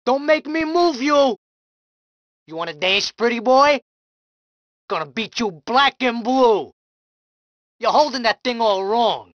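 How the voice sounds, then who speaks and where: Woman doing a brash young mobster guy from a game, all threats and snarls, high bright voice, swings up and down a lot, slow. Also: lively intonation, dry recording, lo-fi sound. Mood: menacing. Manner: snarling street-tough threats and taunts. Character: hot-tempered male mob thug, young, brash. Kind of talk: video game combat barks.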